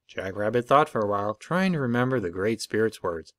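A man reading a children's picture book aloud as an expressive storyteller. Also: lowish voice, fairly quick, no room sound, clean studio sound. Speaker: storybook reader.

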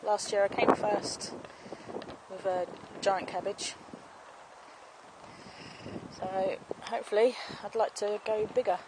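A woman's voice: medium pitch, fairly even intonation, slow pace, long pauses. A woman giving a casual, homely walking tour of her allotment garden, chatting and explaining as she films on a handheld camera outdoors. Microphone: handheld camera, speaker off screen. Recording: outdoor camera audio with clear background noise.

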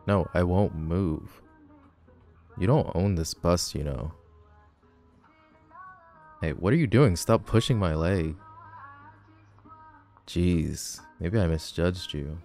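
A man's voice: low-pitched, darkish tone, exaggerated voice. A man playing a cocky, grumpy tall teenage delinquent boy in an audio roleplay, sarcastic, teasing and dismissive. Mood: irritated, mocking. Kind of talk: boyfriend ASMR audio roleplay.

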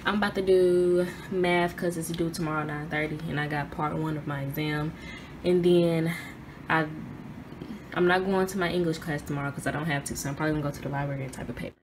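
A young woman in her twenties chatting casually in a vlog from her dorm room, her voice hoarse, filmed on a phone held at arm's length. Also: sits low, fairly even intonation, fast talker. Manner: chatty, rambling, everyday. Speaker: vlogger. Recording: noisy phone recording.

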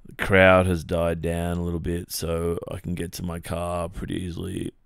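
A man's voice: deep voice, monotone, moderate pace, creaky, clear, resonant tone, no echo, studio quality. An Australian man playing a friendly, teasing young football captain in a hesitant, casual ASMR boyfriend roleplay. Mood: grateful, winding down. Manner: hesitant, casual, murmuring hums and chuckles.

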